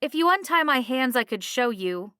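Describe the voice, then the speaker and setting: A woman's voice: high voice, very animated, normal speed, pushed and bright, smooth, dry recording, clean studio sound, pitched above the performer's own voice, heavily acted. A woman voicing an indignant young woman, a reformed thief in a storybook, protesting insistently.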